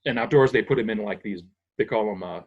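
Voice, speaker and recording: A man's voice: lowish voice, quick pace. An older man from Massachusetts, a sound engineer guest, patiently explaining technical things in a casual interview, thinking aloud with small restarts. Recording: dull lo-fi audio.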